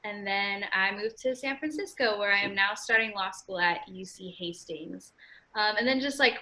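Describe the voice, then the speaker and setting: A young woman in her twenties from California talks as a podcast guest, chatty and upbeat, often dropping "like" as she goes. Her voice is bright and clear. The tone is casual and friendly, as in a relaxed conversation. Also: mid-range voice, normal speed, low-quality recording. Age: 20s. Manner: chatty, frequent "like" fillers, upbeat. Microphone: remote call.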